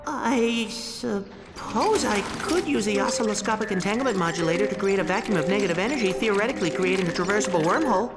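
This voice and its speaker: A man's voice: sits high, quick pace, few pauses. An actor, a man, playing a fussy, nervous, nerdy robot caretaker in a video game, reciting hesitant technobabble. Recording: echo and loud music.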